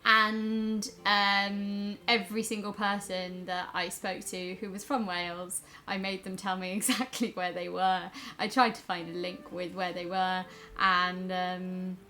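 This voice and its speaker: A woman streamer in casual chat, effusive but halting as she gathers her words. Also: medium pitch, little pitch movement, bright, forceful tone. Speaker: video game streamer.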